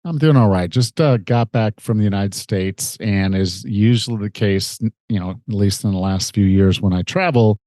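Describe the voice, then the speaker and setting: A man over forty hosts a podcast, earnest and conversational, sounding a little stuffed up from a cold. His voice sits on the low side, and the recording is close and dry with no room sound. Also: normal speed, hardly any pauses, mellow, no echo. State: nasal from a head cold. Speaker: podcast host and fitness coach. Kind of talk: casual interview chat and scripted promo.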